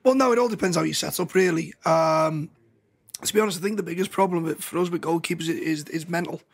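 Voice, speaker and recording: High, quick-talking man presenter. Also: gravelly. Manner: brisk, friendly, matey. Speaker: podcast presenter. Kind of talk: casual football chat.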